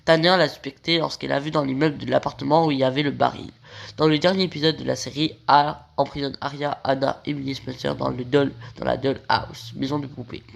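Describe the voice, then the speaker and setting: A man with a cold narrates a plot recap, his voice gravelly and rough. He trips over phrases and restarts them as he reads on. His pitch sits in an ordinary middle range for a man. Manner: reading a plot summary, stumbling and correcting himself. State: has a cold. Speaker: video creator.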